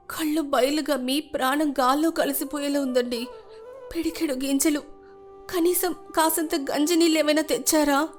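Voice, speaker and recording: Woman acting a devoted village wife, very high-pitched. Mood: weak, pleading. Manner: imploring, consoling. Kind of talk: melodramatic moral story voice acting.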